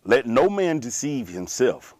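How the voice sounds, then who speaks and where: A man slowly reading scripture aloud during a religious class. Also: mid-range voice, sing-song, darkish tone, no echo. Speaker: scripture reader.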